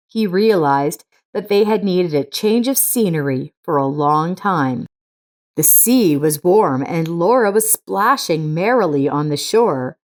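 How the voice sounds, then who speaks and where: A woman reading a scripted story as its narrator. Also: on the low side.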